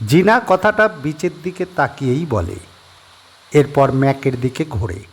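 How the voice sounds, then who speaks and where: A man narrating a horror audio story with expressive, suspenseful storytelling. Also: medium pitch, unhurried, slightly rough. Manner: expressive storytelling with rising and falling tension.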